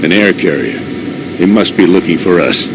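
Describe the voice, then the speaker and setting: An actor playing a gruff, terse, commanding tough punk in a video game cutscene. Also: male voice, deep voice, animated, normal speed. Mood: grim, wary. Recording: loud engine and air noise behind.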